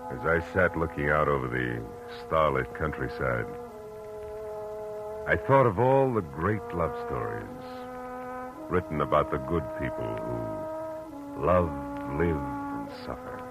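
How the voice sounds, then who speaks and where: An actor playing a hard-boiled, world-weary but compassionate private detective in 1940s radio drama style. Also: male voice, extremely low pitch, huge pitch swings, takes it slowly, full character voice, rough recording. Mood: somber, reflective. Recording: old radio recording with music.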